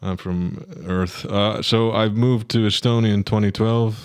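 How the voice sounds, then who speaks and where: Man, low voice, dry close podcast mic, rambling casual storyteller. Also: fairly even intonation, measured pace, smooth, dry recording. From Finland. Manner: rambling storytelling with frequent restarts and laughs. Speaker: podcast guest, musician. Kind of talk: casual chat. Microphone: studio podcast microphone.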